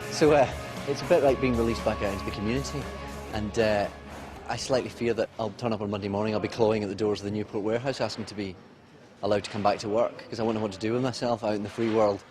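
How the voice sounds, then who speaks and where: A man's voice: fairly low pitch, steady tone, fast, over music. A man speaking wryly and reflectively in an interview.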